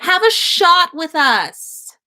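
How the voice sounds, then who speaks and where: A woman voicing excitable, tipsy young women at a birthday party in a story, with a shouting, drawn-out delivery. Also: very high voice, voice pushed up, full character voice. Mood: excited, boisterous.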